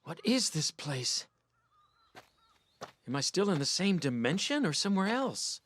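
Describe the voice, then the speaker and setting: An actress playing a bewildered, hesitant young character in an anime dub. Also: female voice, on the low side, sing-song, a little slow, a little hiss or hum. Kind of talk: dubbed anime acting. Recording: music loud behind the voice.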